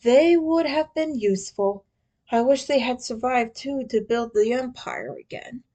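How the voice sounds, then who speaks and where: A woman's voice: on the high side, unhurried, dark tone. A woman puts on an armored alien warlord from a story, male and proud. He speaks in stilted, curt phrases. His manner is haughty and hostile. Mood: cold, proud.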